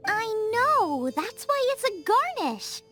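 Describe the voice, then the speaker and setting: A woman's voice: very high-pitched, sing-song, slow, bright, forceful tone, faint music behind, full character voice. A woman voicing a cheerful, headstrong young female chef in a game cutscene, bubbly but stubborn. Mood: explaining. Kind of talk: video game cutscene dub.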